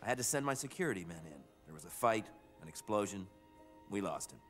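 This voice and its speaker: A callous animated corporate boss. He is slick and self-important, his lowish voice swinging widely in pitch, with faint music underneath. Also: fast. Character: smug, callous, glad-handing male corporate boss. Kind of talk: animated series drama acting.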